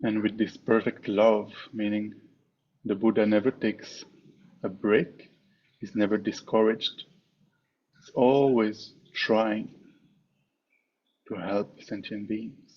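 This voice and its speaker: A man with a fairly low voice leads a guided meditation as a teacher. He speaks in short, measured phrases with frequent pauses. The recording sounds dull and muffled, like an online call, with some noise behind him. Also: little pitch movement, darkish tone.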